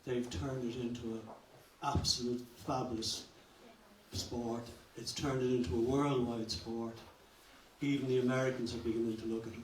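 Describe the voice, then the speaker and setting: Older man rambling warmly in an echoing hall. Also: mid-range voice, little pitch movement, moderate pace. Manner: rambling, joking, effusive. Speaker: guest speaker. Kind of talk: informal speech at a cultural evening. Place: function hall. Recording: roomy hall from a distance, background noise.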